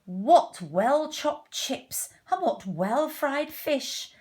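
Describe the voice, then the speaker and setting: A woman's voice: high voice, animated, very slow, a little mellow, pitched above the performer's own voice, exaggerated voice. A woman playing a hungry, bossy but helpful storybook king who declares things with stretched-out, emphatic words. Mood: satisfied. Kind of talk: children's storybook read-aloud.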